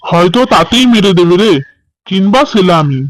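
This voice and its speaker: Man acting high-pitched questioning office clerk. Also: normal speed, no echo, close to an everyday voice, rough recording. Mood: doubtful. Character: two male office clerk friends house-hunting, conversing. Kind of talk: cartoon horror story dialogue.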